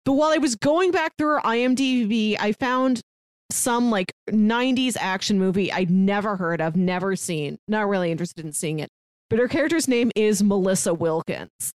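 A woman's voice: medium pitch, normal speed, clear, resonant tone, no room sound. A woman co-hosting a podcast, chatting casually and telling personal anecdotes in a conversational way. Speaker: podcast co-host.

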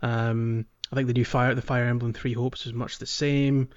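Male podcast host, enthusiastic, casual chat, mid-pitched voice, slightly dull lo-fi recording. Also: level tone, normal speed, clear, resonant tone.

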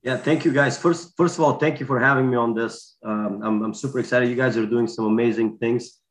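A man from Bulgaria, giving a casual interview in a halting way that repeats words. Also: medium pitch, fairly even intonation. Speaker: guest, wrestler and coach.

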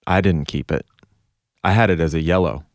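A man's voice: sits low, moderate pace. A man hosting a podcast in a casual, informal, conversational style. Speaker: podcast co-host.

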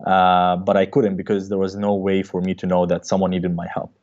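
A man in his thirties, originally from Egypt, answering interview questions as a cardiologist and startup co-founder, with a halting manner full of fillers. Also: deep voice, monotone, a little mellow. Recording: dull lo-fi sound.

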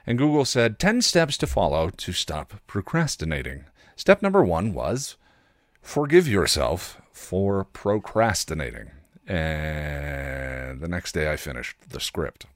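Low-voiced man, wry and very animated. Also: dry recording, studio quality. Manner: self-deprecating, wry; halting, reflective. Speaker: podcast host. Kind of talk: candid personal monologue.

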